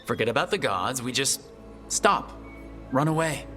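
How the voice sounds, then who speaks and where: A determined young game hero, medium voice, measured and reassuring, bit of music behind. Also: male voice, medium pitch, lively intonation, bright, forceful tone. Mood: earnest. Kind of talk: dramatic video game acting.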